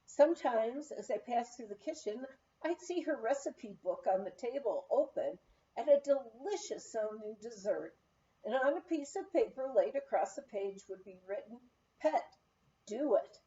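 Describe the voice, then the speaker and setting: A woman reading a memoir aloud in an expressive storytelling manner, on a slightly dull recording. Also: fairly high pitch, moderate pace. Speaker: audiobook reader.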